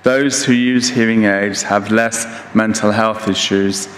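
A man's voice: lowish voice, fairly even intonation, unhurried. Older man lecturing in an echoey hall. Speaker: keynote speaker. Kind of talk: conference lecture. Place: large conference hall stage, indoors. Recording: echoey hall with reverb, background noise.

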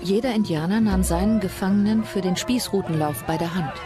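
Woman acting a colonial captive, memoir reading, documentary drama, medium pitch, prominent music. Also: brisk, close to an everyday voice. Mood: recollecting. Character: captive colonial settler woman recounting her ordeal.

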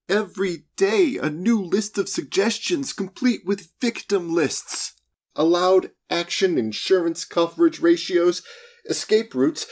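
A man's voice: high-pitched, voice pushed up, exaggerated voice. A man voicing a disgruntled, sardonic male office outlaw in an audiobook, grumbling and exasperated. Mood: disappointed. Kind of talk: audiobook character dialogue.

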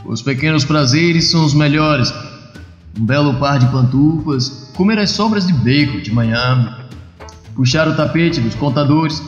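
A man's voice: on the high side, lively intonation, unhurried. A commanding older male tycoon, put on by a man in a motion-comic dub, dry, amused and condescending. The voice sits in long reverb with music behind it. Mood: smugly amused. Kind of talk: dubbed comic-book dialogue. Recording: echoey, muffled, music behind.

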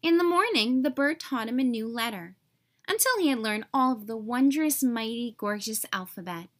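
A woman reading a children's story aloud in an expressive, carefully phrased way for young listeners. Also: a little high, normal speed.

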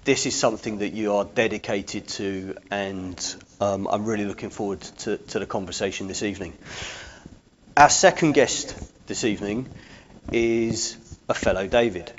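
A man in his forties hosting a talk show from a studio desk on a lapel mic, with slow, emphatic presenter delivery. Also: fairly low pitch, dull audio, a little hiss or hum. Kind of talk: broadcast interview hosting.

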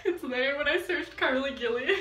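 A woman's voice: sits high, normal speed, roomy sound, far from the mic, background noise. A young woman chatting with a friend and telling stories with lots of giggles. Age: young adult. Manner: giggly storyteller. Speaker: friend of the vlogger. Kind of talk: casual chat.

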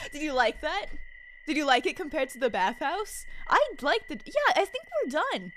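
A woman's voice: high voice, big pitch swings, unhurried, a bit bright, low-quality recording. A young woman chatting casually on a livestream as she plays a horror game at home, speaking into a close mic. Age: young adult. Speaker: game streamer. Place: small room at home, indoors. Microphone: boom-arm microphone close to the mouth, with headset.

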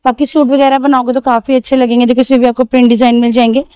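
Brief: high, dry-sounding female shopping vlogger, chatty, repeats prices, outdoor market. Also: little pitch movement, racing, dark tone, smooth, dry recording. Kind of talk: market walkthrough vlog.

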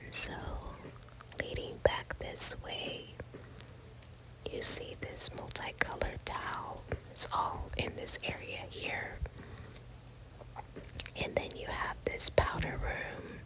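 Slow whispering woman, breathy and soft. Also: low-quality recording. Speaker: ASMR house tour host. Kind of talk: whispered ASMR house tour. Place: inside an empty house, walking through rooms. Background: rain sounds.

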